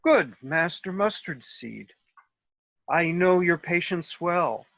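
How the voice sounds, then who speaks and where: A man's voice: on the high side, animated, takes it slowly, vocal fry, a bit bright, no echo, exaggerated voice. A man playing a foolish, self-assured but courteous rustic workman in a comic Shakespeare table read, with theatrical delivery over a video call.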